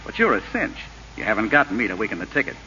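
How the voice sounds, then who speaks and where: An actor playing a corrupt, resentful assistant prosecutor in old radio drama, with a sardonic, needling manner. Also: male voice, fairly low pitch, big pitch swings, very fast, sharp tone. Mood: bitter, threatening. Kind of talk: 1930s radio acting. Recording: muffled old radio recording with hiss.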